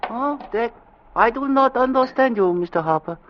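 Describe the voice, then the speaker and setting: An actor playing a polite, superstitious ship's steward in a radio drama, speaking halting broken English with a put-on foreign accent. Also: male voice, extremely high pitch, expressive, slight background noise. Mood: foreboding. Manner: halting, deferential, clipped broken phrases. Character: soft-mannered, superstitious male ship's steward, politely ominous. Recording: dull vintage radio recording.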